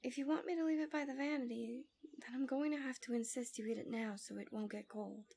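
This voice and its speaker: Woman playing a soft, breathy, coaxing villainess. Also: high-pitched, a little fast. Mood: tender, doting. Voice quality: soft, breathy, often dropping to a whisper. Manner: soothing, doting, teasing. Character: gentle, coaxing, subtly manipulative fantasy villain captor, woman. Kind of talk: soft intimate ASMR roleplay.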